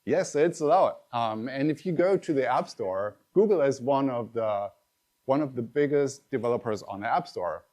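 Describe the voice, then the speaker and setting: A middle-aged man whose first language is German, giving a lively, conversational tech conference talk and addressing the audience directly. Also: mid-range voice, normal speed. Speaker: conference speaker.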